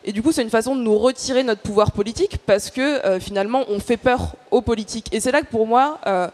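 A woman's voice: fairly high pitch, quick pace, a little mellow. A woman activist speaking on a panel, explaining earnestly in a semi-prepared, stop-and-start way.

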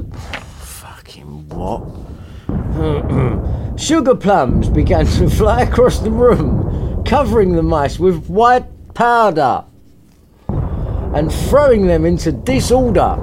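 A man's voice: high voice, noisy background. A man narrating a storybook comically, with irreverent, sarcastic, sweary asides and a theatrical manner. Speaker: story reader and podcast host.

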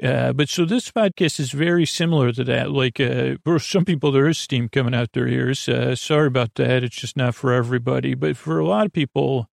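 A man with a creaky voice hosting a sleep podcast, meandering gently, mumbling and stumbling over his words as he trails off. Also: mid-range voice, brisk, few pauses, no room sound, studio quality. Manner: gentle, lulling. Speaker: podcast host. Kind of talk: rambling bedtime sleep podcast monologue.

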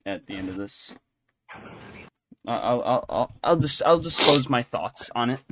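A man's voice: mid-range voice, expressive, measured pace. Male podcast co-host, mid-range voice, casual rambling news chat, jokey, some noise behind. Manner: rambling, jokey, self-interrupting. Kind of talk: casual chatty entertainment news commentary.

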